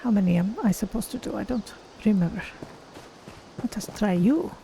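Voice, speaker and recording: Man performing a cat-person game hero, soft dark tone, creaky, courteous, measured phrasing. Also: high-pitched, a little hiss or hum, rough recording. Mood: confident, curious. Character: proud, courteous Khajiit cat-person adventurer, third-person speech. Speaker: streamer voicing own game character. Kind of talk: in-character game role-play commentary.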